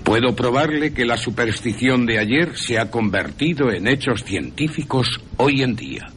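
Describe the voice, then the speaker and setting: A man voicing a grave, assured professor who hunts vampires, speaking emphatically and deliberately in a radio play with music underneath. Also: medium pitch, lively intonation, fairly bright tone, a little hiss or hum, pitched above the performer's own voice, natural voice. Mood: assured, probing.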